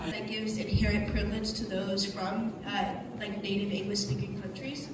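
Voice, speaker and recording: A woman's voice: medium pitch, fairly even intonation, moderate pace. A woman student speaking in a matter-of-fact way. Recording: distant, echoey hall with noise.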